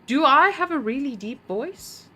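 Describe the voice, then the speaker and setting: Slow-talking bright-voiced woman streamer. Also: high-pitched, lively intonation. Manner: mutters to herself while puzzling, chats with viewers. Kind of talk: casual gameplay commentary.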